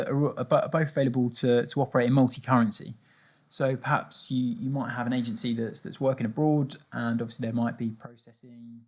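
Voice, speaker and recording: A man presenting an informal software walkthrough, hesitant and often repeating words mid-sentence. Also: mid-range voice. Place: screen-capture demo voice-over.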